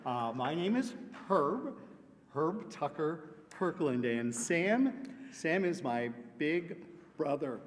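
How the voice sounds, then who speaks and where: A man's voice: fairly high pitch, takes it slowly, a little hiss or hum. A man doing a playful, pun-filled announcement skit in front of a congregation. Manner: punning, playful deadpan. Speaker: congregation member presenting an announcement. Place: large church sanctuary. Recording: long hall reverb.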